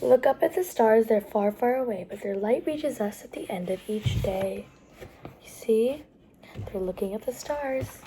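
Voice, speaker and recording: Woman storytime reader for young children: high, very lively delivery, explanatory asides, slight background noise. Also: a little slow, low-quality recording. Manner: animated, explains pictures and words as she goes. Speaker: storytime host reading picture books aloud. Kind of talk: expressive read-aloud for young children, with asides to the listeners.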